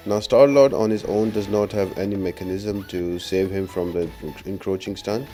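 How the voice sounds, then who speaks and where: A man's voice: low-pitched, dark tone. A man giving a casual, instructional game walkthrough as a voice-over. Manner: explanatory, instructional. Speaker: gaming guide video narrator. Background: faint music.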